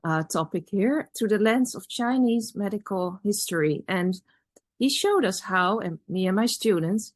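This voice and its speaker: A woman whose first language is Dutch, giving a measured, reflective academic talk at a conference with pauses and restarts. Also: mid-range voice, lively intonation, no room sound, studio quality. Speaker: conference speaker.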